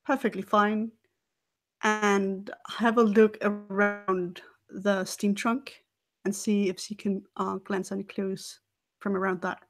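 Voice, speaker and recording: A woman's voice: mid-range voice, flat delivery, slow pace, faint music behind. A woman playing a tabletop roleplay game, describing what her character does.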